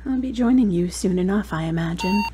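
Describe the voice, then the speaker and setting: Casting: actress for adult daughter losing her mother, radio drama, medium-pitched, tender and plaintive. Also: a little fast, lightly acted. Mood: tender, wistful. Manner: tender, plaintive, talking softly to a loved one. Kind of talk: audio drama acting.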